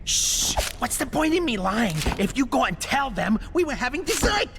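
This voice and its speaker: An actor playing a cocky, sarcastic small animal mercenary in a video game, brash and grumbling. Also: male voice, extremely high pitch, very animated, moderate pace, vocal fry, noisy background, full character voice. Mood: defensive. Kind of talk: video game comic character acting. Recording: loud music behind the voice.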